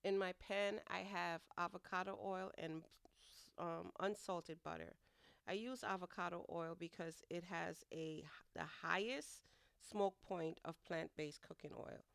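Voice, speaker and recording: A middle-aged woman talks through her kitchen prep in a loose, chatty ramble, often laughing at herself. Her voice sits a little low and comes out smooth despite a stuffy, nasal head cold. The sound is close and dry. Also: fairly even intonation, a little slow, fairly bright tone, dry recording. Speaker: home cooking vlogger. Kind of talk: casual cooking narration. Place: home kitchen.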